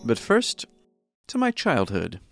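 An American man narrates his own story in a reflective, wry manner, voice sitting at an ordinary pitch. Clear guitar music runs underneath the scripted telling. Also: wildly swooping pitch, slow, a bit bright, no room sound, studio quality. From United States. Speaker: podcast producer and narrator.

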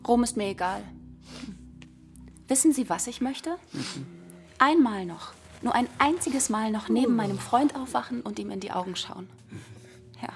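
A wistful young woman, blunt, croaky fry, radio play with soft music. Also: a little high, expressive, moderate pace, long pauses. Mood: wistful, longing. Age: 20s. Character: wistful, blunt young woman of twenty-three facing death. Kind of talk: naturalistic radio play acting.

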